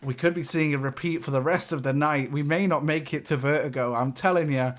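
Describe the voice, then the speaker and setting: A man casting a live esports match with excitable play-by-play, his voice getting a little raspy. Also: fairly high pitch, brisk. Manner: excitable, rising sharply on big plays, laughs between calls. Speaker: esports caster.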